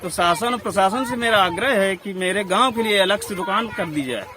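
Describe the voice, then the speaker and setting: High-voiced male village head outdoors. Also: lively intonation, a little fast. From rural Uttar Pradesh, India. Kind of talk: answering a field interview. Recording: outdoor field recording with crowd noise.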